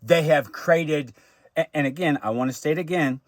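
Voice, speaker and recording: An American man giving an unscripted personal monologue in a halting, repetitive way, with stammered restarts and emphatic drawn-out phrases. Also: on the high side, no echo, clean studio sound. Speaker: vlogger recounting personal grievances.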